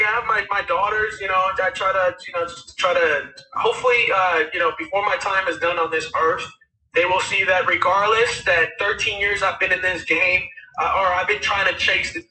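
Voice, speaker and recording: A raspy-voiced man in his thirties, a musician guest telling his story in a rambling, casual way with many fillers. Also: high-pitched, pushed and bright, echoey room, recorded from a distance, a little hiss or hum, low-quality recording. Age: 30s. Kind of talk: casual interview talk.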